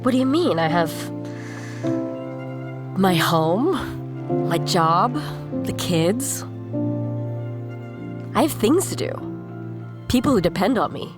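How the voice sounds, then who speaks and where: A woman voicing a weary mother, pleading earnestly and haltingly to be allowed to go home. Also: on the low side, sing-song. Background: background music.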